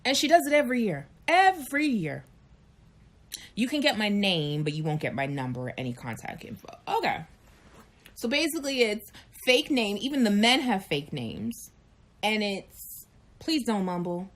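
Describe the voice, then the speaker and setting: Animated, frank woman in her thirties. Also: mid-range voice, moderate pace. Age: 30s. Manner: frank, animated storytelling with acted-out voices. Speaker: chat show co-host. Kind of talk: candid casual chat.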